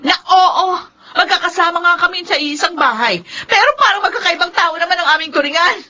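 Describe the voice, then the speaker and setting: A woman's voice: very high-pitched, brisk, bright, forceful tone. A woman playing a bitter, combative mother in a Filipino radio drama, delivering her lines with confrontational emotion. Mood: scornful, hurt. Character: bitter, combative mother, scornful and quick to fury. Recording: dull lo-fi radio drama audio.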